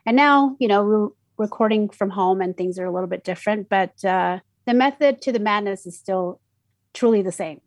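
A woman's voice: mid-range voice, moderate pace, no echo. A middle-aged woman from Mexico City, a native Spanish speaker with a Mexican Spanish accent, chatting casually as a radio DJ in an interview, with many fillers and restarts.

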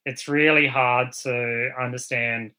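Aussie guy, bright clear voice, thinking out loud, lots of ums. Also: mid-range voice, steady tone, slow pace. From Australia. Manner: thoughtful, with frequent fillers and restarts. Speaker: artist guest. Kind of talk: casual panel talk.

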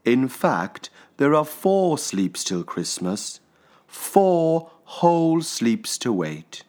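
A man voicing a sleepy, patient father grizzly bear in a storybook, with weary, drawn-out emphasis. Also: mid-range voice, sing-song, darkish tone, voice pushed up. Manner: mumbling, yawning, drawn-out emphasis.

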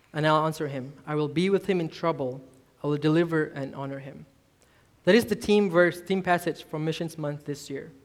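Young man from Malaysia, mid-high voice, earnest sermon telling his story. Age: 20s. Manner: earnest, self-deprecating, admits nervousness. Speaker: youth minister preaching. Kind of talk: personal testimony sermon.

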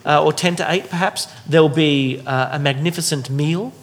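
A man's voice: on the high side, moderate pace. A man hosting a dinner discussion, talking loosely and casually with many fillers and restarts. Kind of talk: casual welcoming talk to a group.

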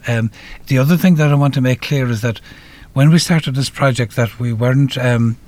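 An Irish man moderating a live radio discussion, speaking haltingly and repeating words as he goes. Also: medium pitch, a little mellow. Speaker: panel moderator and project organiser.

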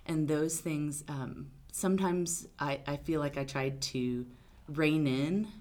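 A woman attorney from Arkansas, answering interview questions in a loud, outgoing, talkative way. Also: sits low, fairly even intonation, slow. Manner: loud, big personality, talkative. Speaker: attorney guest.

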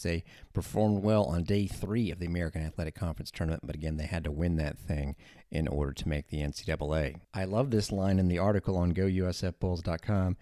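Deep-voiced, chatty male sports radio host. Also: warm tone, no room sound, clean studio sound. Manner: chatty, informal, rambling asides. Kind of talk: casual sports talk radio.

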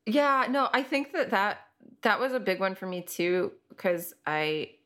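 A woman's voice: mid-range voice, lively intonation, measured pace, a bit bright. A woman co-hosting a podcast, talking in a supportive, reflective way and agreeing often. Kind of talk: casual podcast chat.

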